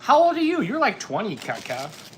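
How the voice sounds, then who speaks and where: Male streamer, 40s, bright edgy tone, casual off-the-cuff chat, noticeable background noise. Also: high-pitched, huge pitch swings, normal speed. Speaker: livestream host. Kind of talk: casual chat with live viewers.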